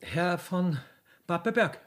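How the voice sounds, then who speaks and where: A man voicing a rich, lovesick Viennese butcher's widow who wheedles in a halting, folksy way in a satirical stage play. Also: high voice, animated, fairly bright tone, voice pushed up, exaggerated voice. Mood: coy, wheedling.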